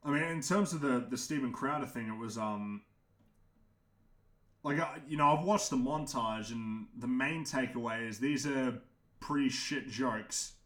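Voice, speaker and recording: A young man with a naturally deep voice rambles on a livestream, blunt and opinionated, tripping over his words and laughing now and then. His voice sits at an ordinary pitch for a man. The room around him echoes noticeably. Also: unhurried, fairly bright tone. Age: young adult. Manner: opinionated, frank, swears, frequent restarts; laughs often. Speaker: livestream host answering chat.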